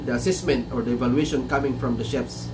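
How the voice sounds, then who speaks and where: A man's voice: mid-range voice, steady tone, quick pace, distant mic, over music. Chatty man with Filipino English accent. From Philippines. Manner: mixes English with Filipino, chatty, repeats words. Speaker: chef and livestream host. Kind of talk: casual livestream talk. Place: small room indoors. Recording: noisy room with air conditioner hum. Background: air conditioning hum.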